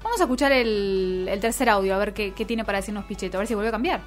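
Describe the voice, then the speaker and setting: A woman's voice: medium pitch, quick pace, music in the background. A woman with an Argentine accent hosting a radio show, chatting casually about politics. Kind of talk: casual political commentary chat.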